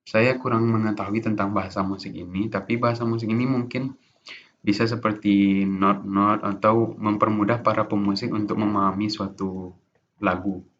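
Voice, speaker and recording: A young man giving an informal class presentation as a student, halting often with restarts and fillers. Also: fairly low pitch, little pitch movement, a bit bright. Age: young adult. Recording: dull lo-fi recording with faint noise.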